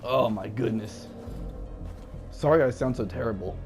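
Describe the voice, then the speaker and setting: A man's voice: medium pitch, normal speed, a little mellow, soft background music. Congested young guy commentating a game. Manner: casual, swearing, rambling. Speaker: let's play commentator. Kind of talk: casual gameplay commentary.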